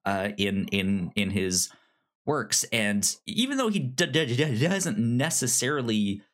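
Podcast co-host, sex unclear, medium pitch, stammering, repeated words, casual film discussion. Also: sing-song, a little slow, a bit bright.

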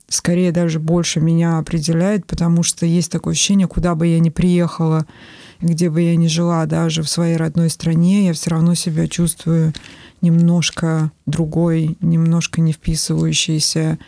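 A woman in her forties from Estonia, talking in a thoughtful, measured way with many pauses on a podcast. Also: sits low, dark tone, dry recording. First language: Russian. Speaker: podcast guest. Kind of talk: reflective podcast conversation.

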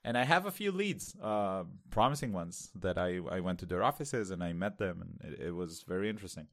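Israeli man, low voice, halting chat. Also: animated. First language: Hebrew. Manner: halting, many fillers and restarts. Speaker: podcast co-host. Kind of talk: casual podcast chat.

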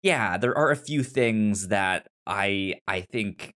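A man's voice: fairly low pitch, lively intonation, slow pace, fairly bright tone. A man hosting a casual podcast discussion, stammering and often repeating sounds and words as he talks. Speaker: podcast host.

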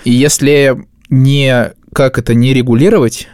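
A man with a medium-pitched voice co-hosts a podcast, close and dry with no room sound. He chats casually and loosely, in slangy, informal phrasing. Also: fairly even intonation, unhurried, a little mellow, no room sound. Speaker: podcast co-host. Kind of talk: casual chat.

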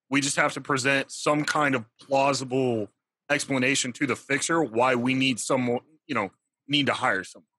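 A man playing a tabletop game over a call, with a naturally loud voice and lots of fillers. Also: mid-range voice, dry recording. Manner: loud, talks fast with filler words. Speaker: player. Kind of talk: casual chat while planning in a tabletop game. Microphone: video call camera mic.

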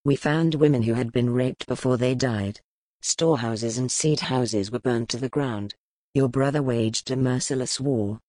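A woman voicing a grim, powerful male duke, speaking low, measured and brooding in an audiobook. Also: darkish tone, no echo. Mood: stern. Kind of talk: audiobook character voice.

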